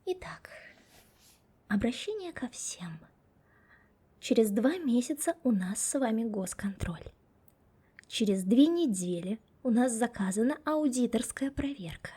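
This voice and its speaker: A young woman voicing a stern, sharp-tongued female office boss in an ASMR roleplay, whispering softly close to the mic. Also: a little high, expressive, measured pace, husky. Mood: stern, sarcastic. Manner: scolding and sarcastic to staff.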